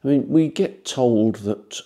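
A man, explaining things informally to camera in a measured way with rhetorical asides. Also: medium pitch, warm tone. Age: 70s. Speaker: ham radio channel presenter.

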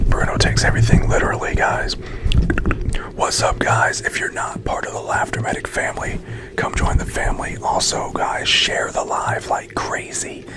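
A young man hosting a casual livestream, greeting viewers and playfully scolding his dog in a whispery voice. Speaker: livestream host.

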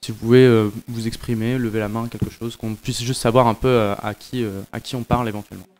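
A man moderating a festival panel in a classroom, asking halting questions with many restarts into a handheld mic. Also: mid-range voice, flat delivery, a little mellow.

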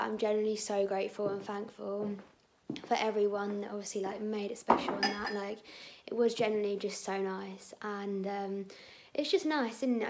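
A woman, chatting casually to a phone camera at home in a husky, strained voice, rambling with lots of fillers. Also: medium pitch, darkish tone. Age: 20s. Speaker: vlogger. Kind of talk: casual vlog chat. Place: indoors at home, bedroom and kitchen. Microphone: phone camera held at arm's length.

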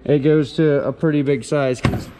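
A man's voice: fairly high pitch, fairly even intonation, normal speed. A man talking casually as a trucker vlogger, showing his gear in informal, slangy language on a handheld camera. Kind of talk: casual walk-through vlog, chatty and informal. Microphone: handheld camera on small tripod, no foam cover. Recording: reverberant, noisy handheld camera audio with handling clicks.

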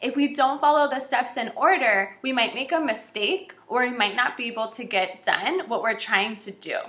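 Female kindergarten teacher, twenties, forceful bright tone, encouraging read-aloud delivery, recorded in an echoey room. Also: on the high side, normal speed. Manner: encouraging, animated, addressing young children. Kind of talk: children's read-aloud lesson. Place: small indoor room with shelves and whiteboard.

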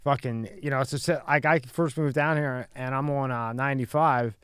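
A male podcast host tells stories in a blunt, casual way. His medium-pitched voice is very clear and resonant, and the sound is close and dry. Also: fairly even intonation, moderate pace, a bit bright, no echo. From Pennsylvania, USA. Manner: blunt, swears freely. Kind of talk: casual chat, anecdotal. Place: small curtained podcast studio. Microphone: close broadcast mic on boom arm.

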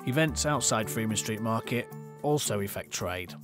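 A man's voice: lowish voice, expressive, normal speed. A man reading scripted narration as the narrator and interviewer of a local documentary. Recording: clear music bed behind. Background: music.

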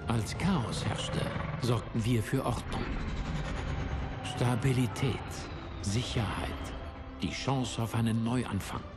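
An actor in a German game dub playing an older, commanding military corporate leader, speaking in a measured, oratorical way. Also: male voice, mid-range voice, lightly acted. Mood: grave, stirring. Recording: clear music under the voice.

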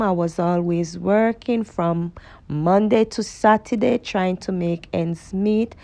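Jamaican mom talking slow, bit of a gravelly edge, lowish voice, filming at the park gym. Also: female voice. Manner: calm, grateful, then chatty. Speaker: vlogger. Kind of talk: reflective narration and exercise chat.

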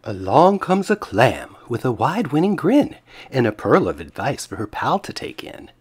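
A man reading a children's picture book aloud as an expressive, husky-voiced narrator. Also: medium pitch, normal speed.

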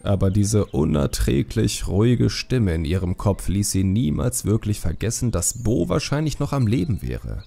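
A man narrating a fantasy audiobook in a measured, expressive storytelling manner. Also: lowish voice, vocal fry.

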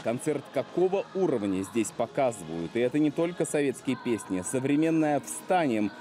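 A man's voice: medium pitch, very animated, moderate pace, over loud music. A man in his forties narrating a TV news report in an emphatic, punchy scripted voice-over. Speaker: TV reporter and host. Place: studio voice-over.

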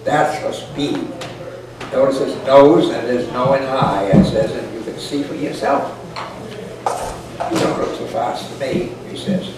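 A man's voice: mid-range voice, animated, a little slow. Elderly man, storyteller, dry rustic phrasing, distant microphone, heavy room echo. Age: 80s or older. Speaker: storyteller reading aloud. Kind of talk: folksy humorous story reading aloud to a live group. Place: indoor parlor with a small audience. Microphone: camera microphone at a distance. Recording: echoey, noisy room recording from far off.

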